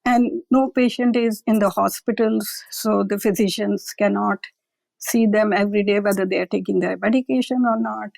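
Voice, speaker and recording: A woman's voice: medium pitch, steady tone, moderate pace, creaky, a little mellow, no echo, low-quality recording. A woman researcher being interviewed, explaining carefully and often adding "I will say". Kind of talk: conversational expert interview.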